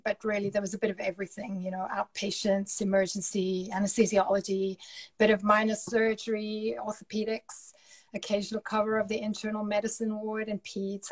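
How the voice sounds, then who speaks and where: A woman in her forties from South Africa with a South African accent, talking about her career in a relaxed, reflective way over a webcam video call from home. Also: medium pitch, low-quality recording. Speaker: journal editor-in-chief. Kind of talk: casual interview chat. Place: home room with curtain. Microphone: laptop webcam on video call.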